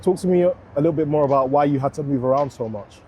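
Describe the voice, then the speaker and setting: A man's voice: on the high side, racing, mellow, a little hiss or hum, low-quality recording. A man asking informal, probing questions as the interviewer in a conversational interview.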